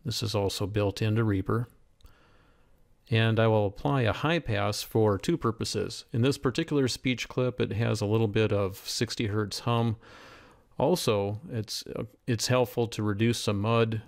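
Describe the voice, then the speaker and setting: A man giving a methodical, step-by-step software demonstration as a tutorial voice-over. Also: on the low side, measured pace, gravelly. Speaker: tutorial instructor. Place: voice-over screen recording.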